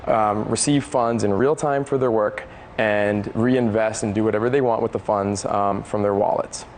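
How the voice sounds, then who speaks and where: A man's voice: lowish voice, fairly even intonation, moderate pace, darkish tone, a little hiss or hum. A man explaining his company's product as a guest in a business interview. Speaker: startup representative, interview guest.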